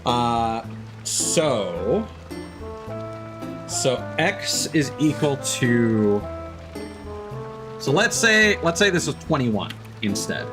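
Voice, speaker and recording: A man's voice: medium pitch, very animated, very slow, pauses often. A man giving casual live gameplay commentary as a streamer, thinking aloud in an analytical way. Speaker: game streamer. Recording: loud game music behind voice. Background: video game music.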